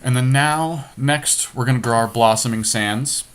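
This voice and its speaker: A man's voice: mid-range voice, moderate pace, clean tone. A man chatting casually as a podcast host, thinking aloud through an online card game with his co-host.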